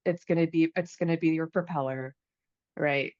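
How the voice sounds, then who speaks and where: A woman's voice: sits low, fairly even intonation, normal speed, a little mellow, no echo, highs cut off. Chatty American woman in her thirties. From United States. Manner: chatty, with many fillers and restarts. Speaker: podcast host. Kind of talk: casual conversation.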